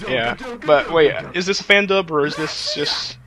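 A man's voice: on the high side, animated, normal speed, a crowd in the background. A young man chatting casually as a bemused, questioning co-commentator. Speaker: co-commentator reviewing an amateur anime parody. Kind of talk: casual reaction chat. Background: soundtrack of a loud anime video.

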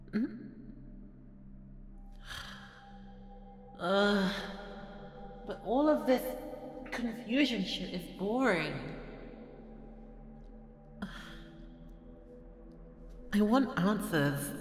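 Woman voicing a slow, echoing, haughty fire goddess. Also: mid-range voice, a little mellow, slight background noise, natural voice. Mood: bored, irritated. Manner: haughty, slow, drawling, with breathy murmured asides. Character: bored, volatile, haughty immortal fire goddess. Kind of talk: ASMR audio roleplay. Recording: echoey hall reverb with music behind.

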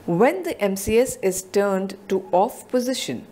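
A woman narrating a scripted technical explanation in a measured, explanatory voice-over. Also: medium pitch, animated, warm tone.

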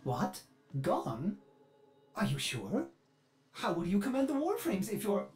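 A man's voice: high voice, huge pitch swings, measured pace, exaggerated voice. A man voicing a fretful, eager ship computer in a video game, dramatic and servile in manner. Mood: alarmed. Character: fretful, eager ship computer mind, male, fragmented and apologetic. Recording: echoey, music behind the voice.

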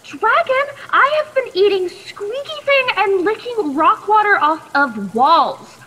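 A woman's voice: very high-pitched, sing-song, takes it slowly, bright, forceful tone, heavily acted. A woman playing a plucky, nervous, chatty teenage captive in a radio play, stammering politely in a reverberant recording. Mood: indignant. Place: video call performance. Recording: long echoing reverb, faint noise.

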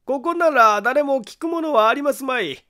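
A man acts out a secretive, earnest gentleman from a mystery audiobook. His voice is strikingly high for a man, and he speaks politely and deliberately, as if confiding a grave matter. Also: animated, full character voice. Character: grave, secretive man calmly arguing a friend's innocence. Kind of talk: audiobook mystery story character acting.

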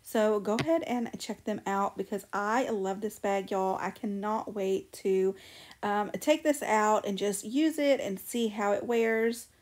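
A woman's voice: medium pitch, a little slow, darkish tone. A woman, chatting casually to camera as the host of her own vlog. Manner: chatty, friendly, often says "go ahead". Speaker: lifestyle vlogger host.

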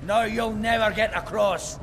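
High, shouting vengeful dwarf. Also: male voice, steady tone, measured pace, soft background music. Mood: urgent, vengeful. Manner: shouted, triumphant. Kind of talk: fantasy video game voice acting. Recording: reverberant hall, background noise.

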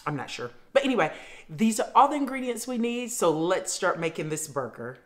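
Woman, middle-aged, low pitch, chatty home-kitchen cooking host. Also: very animated, fairly quick. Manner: chatty, addresses viewers as fam. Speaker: cooking vlogger. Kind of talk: casual cooking demonstration. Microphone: camera facing her at counter.

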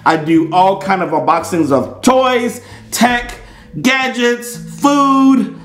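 A man's voice: sits high, slow pace, fairly bright tone, faint music behind. A middle-aged man with an American accent hosting a casual, comedic unboxing in a playful, theatrical way. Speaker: unboxing host. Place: small indoor studio set. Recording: roomy, echoey indoor sound.

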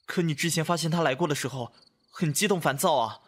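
An actor playing a gentle, concerned young companion in a costume radio drama. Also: male voice, a little high, fast, natural voice. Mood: gentle probing.

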